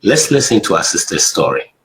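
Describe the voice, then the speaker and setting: Low-voiced man hosting, rambling. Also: big pitch swings, brisk, fairly bright tone. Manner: rambling, restarts questions, friendly. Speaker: host. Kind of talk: casual interview hosting.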